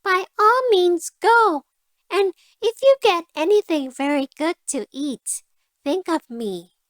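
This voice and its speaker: A woman's voice: extremely high pitch, animated, slow pace, dry recording, clean studio sound, pitched above the performer's own voice, full character voice. A woman voicing a small, trusting female mouse in a storybook, eager and full of exclamation. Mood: eager, agreeable.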